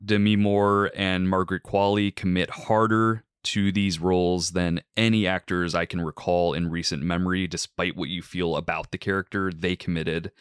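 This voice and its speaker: A man hosting a film podcast, reviewing a movie and introducing a guest in a measured, articulate way with some "like" fillers. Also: sits low, level tone, clean tone, no room sound, studio quality. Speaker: podcast host.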